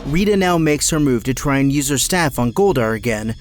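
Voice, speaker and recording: A man's voice: medium pitch, expressive, brisk, slight background noise. A man narrating an audio drama in a scripted, dramatic storytelling style.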